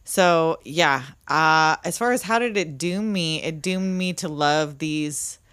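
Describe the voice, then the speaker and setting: Woman, lowish pitch, dry acoustic, wry, blunt, sweary, casual recap co-host. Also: slow pace, fairly bright tone, clear, resonant tone, dry recording. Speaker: podcast co-host. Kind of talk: casual movie recap chat.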